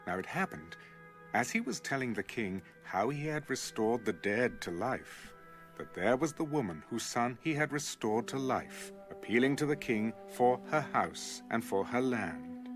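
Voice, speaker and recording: A man's voice: medium pitch, sing-song, measured pace, soft background music. A man narrating a dramatized scripture audiobook as a scripted storyteller.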